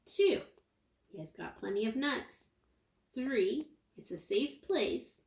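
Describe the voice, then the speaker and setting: A woman teacher reading a picture book aloud to young children, expressively, pausing for asides and questions to her listeners. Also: fairly high pitch, very animated. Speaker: kindergarten teacher. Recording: roomy, echoey indoor sound.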